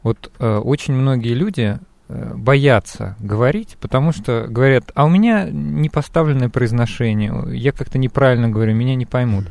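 A man's voice: mid-range voice, normal speed, gravelly, a little mellow, no echo. A man hosting a radio interview from a studio, relaying listener questions and talking conversationally with his guest. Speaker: radio host and programme author.

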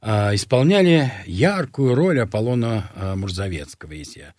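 Lively husky-voiced male host. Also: fairly low pitch, moderate pace, no echo, clean studio sound. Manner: lively, praising, asks long meandering questions. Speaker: talk show host. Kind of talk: TV interview.

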